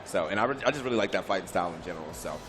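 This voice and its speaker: A man's voice: sits low, lively intonation, rapid-fire, a bit bright, soft background music. A man hosting a live fighting-game tournament, chatty and upbeat, with fillers. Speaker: tournament host.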